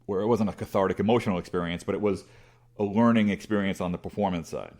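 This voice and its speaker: A man's voice: deep voice, fast talker, darkish tone. A middle-aged man with a big voice, telling rambling, self-deprecating stories as an interview guest with many restarts. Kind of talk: casual conversational storytelling.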